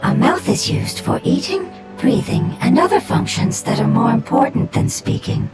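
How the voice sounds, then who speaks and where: Tiny formal fungus critter, woman voicing it, high and sing-song, heavy game music behind. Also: expressive, lightly acted. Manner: formal, measured, oddly literal. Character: small polite talking fungus creature, formal and precise. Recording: game audio over loud music.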